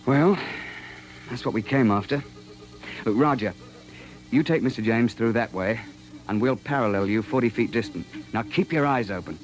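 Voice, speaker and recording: An actor playing a seasoned, unflappable safari guide, recounting a hunt with dry understatement in a radio drama. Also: male voice, mid-range voice, normal speed, faint music behind, natural voice, rough recording. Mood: tense storytelling. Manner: dry understatement, old-fashioned clubby phrasing. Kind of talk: radio drama narration and dialogue.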